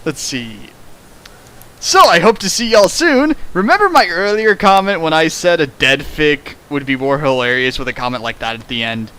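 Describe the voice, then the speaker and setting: A man's voice: on the high side, expressive, moderate pace, pushed and bright. A man hosting a casual critical commentary, speaking emphatically and jokily and repeating himself for effect. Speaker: host of a dramatic fan fiction reading. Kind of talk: casual critical commentary, partly read from a script.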